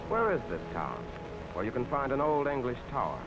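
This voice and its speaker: Man, vintage documentary narration, measured rhetorical delivery, prominent music behind, archival film sound. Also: mid-range voice, huge pitch swings, rough recording. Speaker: narrator of an old travel film.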